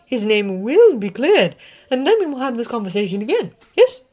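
A woman voicing a stern older female professor who speaks in a low, grave and measured way. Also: big pitch swings, a little mellow. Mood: somber.

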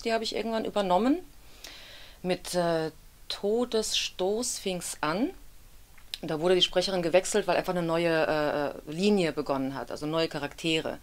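A woman in her thirties, a voice actress, talking casually and candidly in a studio interview, with a self-deprecating streak. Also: on the low side. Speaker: audiobook narrator and dubbing actress being interviewed. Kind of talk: casual, reflective interview talk. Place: indoor studio with dark backdrop.

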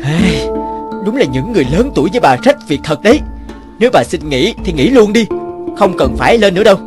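A man's voice: sits high, sing-song, brisk, music in the background. A man voicing an arrogant, boastful rich young man in a cartoon, with a swaggering manner. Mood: scornful. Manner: breezy, swaggering, scornful. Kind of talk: animated moral-tale dubbing.

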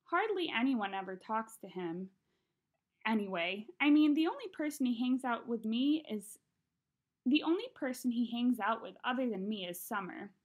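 A young woman with a slightly high voice reads a children's novel aloud. She is expressive and conversational, acting out the dialogue as she goes. She is recorded in a small room at home. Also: normal speed. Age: young adult. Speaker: read-aloud narrator. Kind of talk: expressive audiobook-style reading of a children's novel.

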